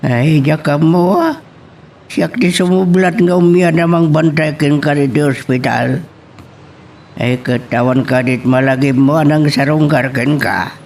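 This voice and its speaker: A man's voice: on the high side, unhurried, a little mellow, slight background noise. A man playing a caring, fussing father of a grown daughter in an audio drama. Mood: concerned.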